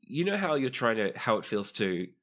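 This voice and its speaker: A man's voice: mid-range voice, sing-song, moderate pace, a bit bright. A man narrating a tabletop role-playing game as game master, in a conversational, descriptive way.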